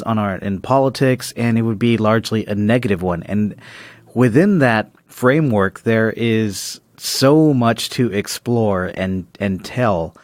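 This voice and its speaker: A man's voice: mid-range voice, no echo. A man co-hosting a podcast in casual, analytical conversation. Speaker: podcast co-host.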